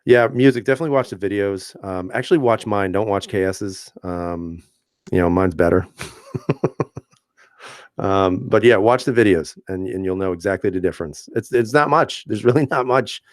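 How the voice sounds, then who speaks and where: Man, deep voice, casual live-stream host, relaxed chatty delivery, dry close-miked sound. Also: moderate pace, dry recording. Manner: relaxed, chatty, laughs often, many fillers and restarts. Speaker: channel host reading live chat.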